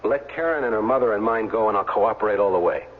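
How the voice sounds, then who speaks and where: An actor in a 1970s radio drama playing a defiant retired football player who narrates in plain, hard-boiled language. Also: male voice, lowish voice, fast talker, slight background noise, close to an everyday voice. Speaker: first-person narrator and lead. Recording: very muffled 1970s radio recording.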